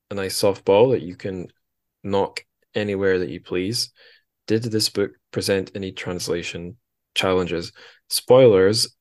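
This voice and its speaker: A man hosting a casual interview show, thinking aloud in a halting way with wry asides. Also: low-pitched. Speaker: podcast host, interviewer.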